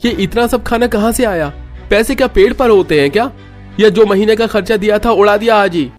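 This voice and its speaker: A man's voice: high-pitched, a little fast, faint music behind, close to an everyday voice. A man voicing an overburdened younger brother-in-law in a cartoon story, emphatic and emotional. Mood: angry, sarcastic. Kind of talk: Hindi cartoon story dialogue.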